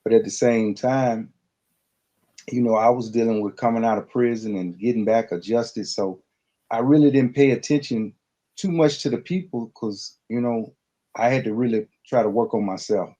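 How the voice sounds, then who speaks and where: Dark-voiced man reflecting slowly. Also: mid-range voice, highs cut off. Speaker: podcast guest. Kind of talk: reflective conversational interview.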